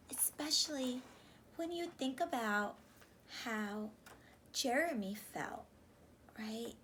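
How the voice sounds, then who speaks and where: A high-voiced woman reads a picture book to children. She takes her time, speaking expressively and stopping to put questions to her listeners. There is clear room noise in the recording. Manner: animated, questioning, slow and deliberate. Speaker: teacher reading a picture book aloud. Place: small room indoors, on a couch.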